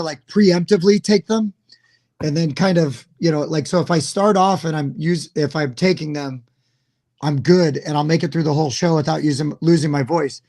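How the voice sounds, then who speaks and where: Hoarse guy talking loud and rambly, kinda high voice, casual show chat.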